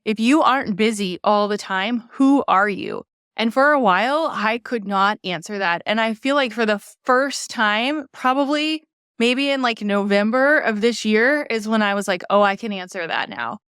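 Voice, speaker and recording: A woman's voice: fairly high pitch, moderate pace, fairly bright tone, smooth, no room sound, studio quality. A woman in her thirties hosting a solo podcast, talking casually and encouragingly, often saying "like" and "right?" and asking her listeners questions. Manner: conversational, frequent "like" and "right?". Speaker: podcast host. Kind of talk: reflective solo podcast monologue.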